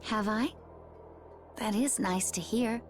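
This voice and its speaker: A woman playing a composed, resolute young warrior woman in a video game, speaking in a poised, measured, earnest way. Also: mid-range voice, faint music behind, lightly acted. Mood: calm, wry.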